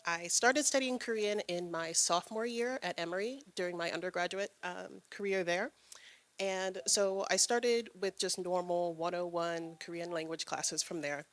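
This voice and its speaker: A woman's voice: fairly low pitch, a bit bright. A young woman in her twenties speaking as a panelist at an event, friendly and chatty with frequent ums. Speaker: panelist, graduate student. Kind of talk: answering on a panel. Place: event room with audience.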